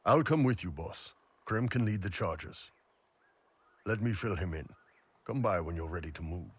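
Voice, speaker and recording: An actor playing a gruff, easygoing horned giant mercenary captain in a fantasy video game, blunt and folksy, with a deep, husky voice. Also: male voice, expressive, moderate pace. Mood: commanding.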